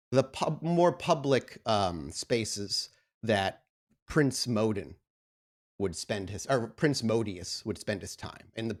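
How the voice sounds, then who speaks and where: Man narrating as game master, deliberate delivery. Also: fairly low pitch. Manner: halting, deliberate, descriptive. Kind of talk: improvised narration of a tabletop role-playing game.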